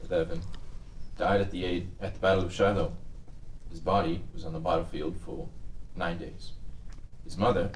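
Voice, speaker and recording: A man playing a low-voiced, stiff patrician tycoon. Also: flat delivery, moderate pace, pauses often, close to an everyday voice. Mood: measured, cool. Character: Joe Kennedy Senior, stiff humorless patrician tycoon in his forties. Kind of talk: table-read acting of a TV script. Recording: roomy, distant mic with noise.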